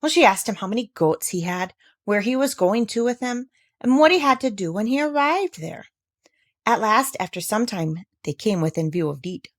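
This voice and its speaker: A woman's voice: mid-range voice, lively intonation, moderate pace, no room sound. Woman reading a children's story, dry sound. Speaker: audiobook reader. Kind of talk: scripted children's story narration.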